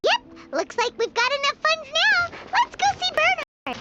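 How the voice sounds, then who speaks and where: A woman voicing a tiny, chirpy, childlike fairy companion in a video game, squeaky and emphatic. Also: sing-song, moderate pace, sharp tone, full character voice. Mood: cheerful. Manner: perky, emphatic. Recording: slightly dull audio under music.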